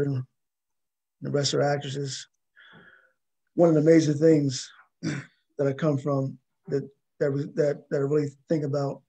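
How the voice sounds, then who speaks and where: A man hosting an interview, speaking conversationally with a gravelly voice. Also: a little high, mellow, poor audio. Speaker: talk show host.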